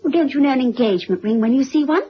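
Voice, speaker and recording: High-pitched, gushing young sister. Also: female voice, animated, very fast, breathy edge. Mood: excited, confiding. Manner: gushing, breezy, emphatic. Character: lively, worldly young elder sister, affectionate and gossipy. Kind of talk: period radio drama acting. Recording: dull, muffled old radio recording.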